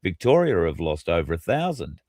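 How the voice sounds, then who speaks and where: A low-pitched Australian man co-hosts a casual livestream, speaking bluntly and swearing now and then. He reads out viewer comments. His voice sounds close and dry, with no room around it. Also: sing-song, normal speed, darkish tone, dry recording. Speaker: co-host. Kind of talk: casual chat.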